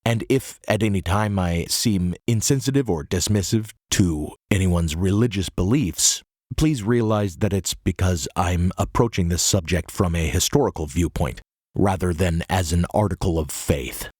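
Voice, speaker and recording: A hoarse man narrating a history podcast in a measured, earnest way. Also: low-pitched, darkish tone, no echo, studio quality. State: hoarse, recovering from illness. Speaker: podcast host.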